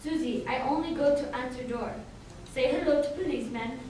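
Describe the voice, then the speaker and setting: A deferential radio-play housemaid acted by a woman, high in pitch and speaking broken English. The sound is very echoey and a little distant. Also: brisk, mellow, close to an everyday voice. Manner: broken English with dropped articles. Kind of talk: old-time radio drama acting. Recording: very echoey hall, distant mic, faint noise.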